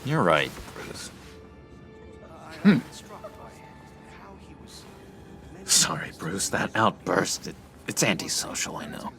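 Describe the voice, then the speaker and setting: A male actor playing an unhinged, needy man with a volatile temper in a video game, speaking in a halting, theatrical way. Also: deep voice, huge pitch swings, bright, forceful tone, soft background music, exaggerated voice. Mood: sheepish. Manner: halting, stammering, theatrically dramatic.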